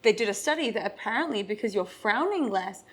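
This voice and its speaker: A woman's voice: medium pitch, animated, brisk, husky. A woman co-hosting a podcast in a casual chat, laughing often as she explains the research she has read. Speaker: podcast co-host.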